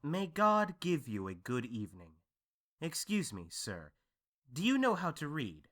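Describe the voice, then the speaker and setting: A man playing a humble, polite, comically flustered servant in an audio drama, plain-spoken and animated. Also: fairly high pitch, unhurried, full character voice. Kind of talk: audio drama acting of modernized Shakespeare.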